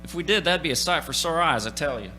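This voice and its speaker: An actor playing a folksy, talkative country gas station attendant in a radio play, speaking colloquially. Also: male voice, on the high side, animated, quick pace, sharp tone. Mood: easygoing, folksy. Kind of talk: radio play character acting. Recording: stage radio play with music.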